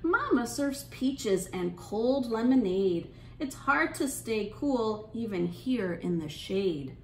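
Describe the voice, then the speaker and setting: A woman hosting an interactive children's storytime, speaking in an encouraging, sing-song way and pausing with questions for young listeners. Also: fairly high pitch, very animated, roomy sound, slight background noise. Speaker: children's storytime librarian and host. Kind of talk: interactive children's storytime, reading aloud and leading songs.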